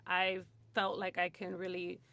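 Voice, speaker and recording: A woman's voice: fairly low pitch, completely flat delivery, a little slow, sharp tone, dry recording. A woman from Hollywood, a guest in a radio studio, telling her story candidly and casually, with lots of "like" and "you know.". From Hollywood, USA. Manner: chatty, lots of "like" and "you know", laughs often. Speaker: guest in recovery.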